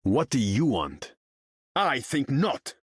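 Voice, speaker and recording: A haughty, commanding warrior king of the seas for a video game. The delivery is unhurried, pompous and theatrical, with huge melodic swings and a husky grain in the tone. The sound is close and dry. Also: mid-range voice, huge pitch swings, no echo, heavily acted. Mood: dry disdain. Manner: regal, pompous, theatrical declamation in formal speech. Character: proud, imperious male sea king warrior, haughty and commanding. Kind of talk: video game character voice lines.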